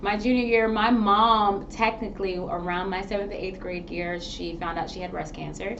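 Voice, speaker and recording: A woman's voice: mid-range voice, moderate pace. A woman from New York whose first language is Haitian Creole, telling her story in a casual interview with lots of laughter. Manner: lively, laughs often, animated storyteller. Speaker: guest, makeup artist. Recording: distant mic, dull sound, room noise.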